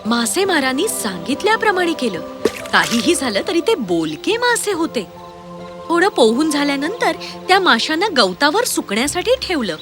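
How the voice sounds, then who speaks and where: A woman narrates a children's fairy tale with dramatic expression. Her voice is high and sweeps widely in pitch, with music clearly playing underneath. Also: very animated, moderate pace.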